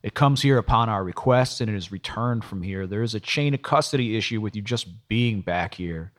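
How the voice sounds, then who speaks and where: A man's voice: fairly low pitch, moderate pace, lightly acted. Man acting an exasperated, berating police detective. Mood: angry scolding. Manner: profane, berating, forceful rhetorical questions. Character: exasperated, angry male police detective, scolding and resigned.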